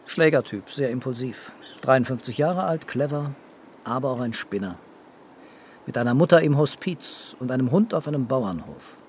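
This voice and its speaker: An actor playing a terse, matter-of-fact police commissioner who asks probing questions in a radio drama. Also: male voice, medium pitch, lively intonation, moderate pace, darkish tone, slight background noise, natural voice. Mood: dry, pondering. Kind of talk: naturalistic radio drama acting.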